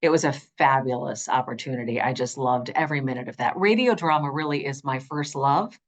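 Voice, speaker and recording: A middle-aged woman with an alto voice, telling her story emphatically as an interview guest. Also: brisk. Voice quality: low alto, changed by vocal nodules. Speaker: guest, audiobook narrator.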